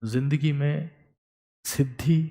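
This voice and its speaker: A man giving a motivational study lecture as a teacher, emphatic and repeating key words, swinging between loud and soft. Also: a little high, fairly even intonation, slow, long reverb tail.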